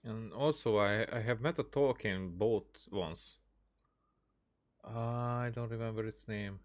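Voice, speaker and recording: A man's voice: on the low side, slow. A man giving casual live commentary as a game streamer, reading dialogue aloud with hesitant, musing asides.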